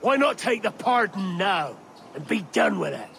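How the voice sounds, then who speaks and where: An actor voicing a fiery, defiant pirate leader, scornful and impassioned, in a video game cutscene. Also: male voice, sits high, very animated, normal speed, creaky, a bit bright, slight background noise, full character voice.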